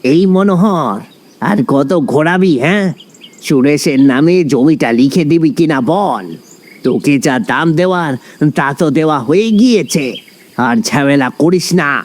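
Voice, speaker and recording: A man's voice: a little high, unhurried, close to an everyday voice. A man voicing a ferocious, impatient henchman in a cartoon story, bullying and threatening as he speaks. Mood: menacing, impatient.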